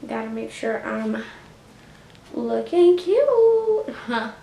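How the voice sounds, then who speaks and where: A woman's voice: fairly high pitch, big pitch swings, extremely slow, vocal fry, dark tone, slight background noise. A woman in her late twenties talking casually to her viewers, full of "like" and "you know". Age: 20s. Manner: chatty, heavy use of "like" and "you know". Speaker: YouTuber. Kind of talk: casual storytime vlog. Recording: echoey room.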